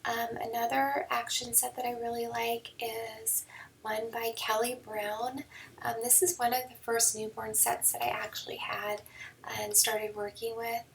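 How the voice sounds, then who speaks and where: A woman photographer giving a relaxed editing tutorial, hoarse and half whispering because her voice is going, with many ums. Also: fairly high pitch, a little slow, far from the mic. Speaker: newborn photographer. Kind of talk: screen-recorded editing tutorial. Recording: mic set back, faint background noise.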